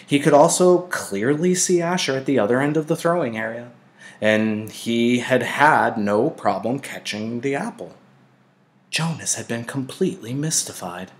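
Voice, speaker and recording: A man reading a novel aloud like a teacher to a class, with deliberate, dramatic phrasing. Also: medium pitch. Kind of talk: expressive audiobook-style read-aloud narration.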